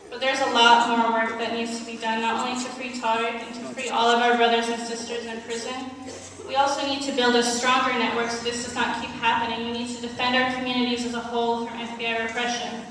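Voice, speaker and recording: Female activist speaker, emphatic but flat in intonation, distant mic, heavy hall reverb, prepared remarks. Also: a little high, fast talker. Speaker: activist committee member giving closing remarks. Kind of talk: prepared community speech, appeal to get involved. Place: large indoor hall at an Islamic center. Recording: echoey hall, distant mic, faint noise.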